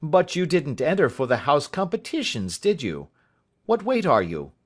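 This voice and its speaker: A man reading aloud, voicing an excitable adult schoolmaster who asks question after question. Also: on the high side, moderate pace, voice pushed up. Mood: eager. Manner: fussy, exclaiming, questioning.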